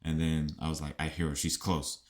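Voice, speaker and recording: A man's voice: very deep voice, little pitch movement, fairly quick. A man co-hosting a casual podcast chat, mostly giving short reactions and asides.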